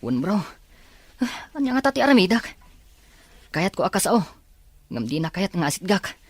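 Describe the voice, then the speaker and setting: A woman doing lovelorn student lad, husky, lowish, earnest, audio drama dialogue. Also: sing-song, moderate pace. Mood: troubled, earnest.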